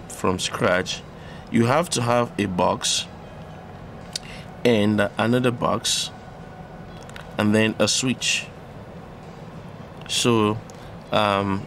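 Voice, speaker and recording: A man presenting an unscripted electronics tutorial, explaining step by step in a halting way. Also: fairly low pitch. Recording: clearly audible background noise.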